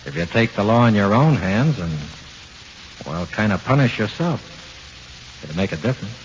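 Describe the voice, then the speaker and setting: Hissy muffled old radio, guy acting a deep tough gangster ghost, slangy and menacing. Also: lively intonation, moderate pace, close to an everyday voice. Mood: ominous, coaxing. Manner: streetwise slangy tough talk. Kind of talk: 1940s radio horror acting.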